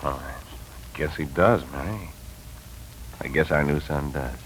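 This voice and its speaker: An American actor playing a gruff, reluctant but soft-hearted husband in a 1940s radio drama. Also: male voice, very deep voice, takes it slowly. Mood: softening wonder. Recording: old radio recording, faint noise.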